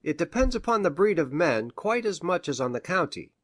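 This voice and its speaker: Man playing pedantic country doctor, slightly high male voice. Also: sing-song, a little fast, dry recording, clean studio sound. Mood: learned, enthusiastic. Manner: formal, pedantic.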